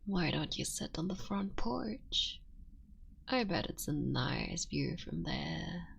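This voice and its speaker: A woman voicing a tender, motherly female fox-spirit witch in an ASMR roleplay, speaking softly and often whispering. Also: on the low side, big pitch swings, slow pace, creaky, husky, highs cut off, full character voice. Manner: soft and intimate, often whispering.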